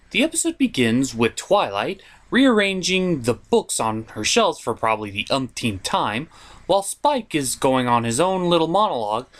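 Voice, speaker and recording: A reviewer giving scripted comedic narration in a theatrical, sarcastic, mock-exasperated way, with some swearing. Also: medium pitch. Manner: profane. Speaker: cartoon reviewer and host.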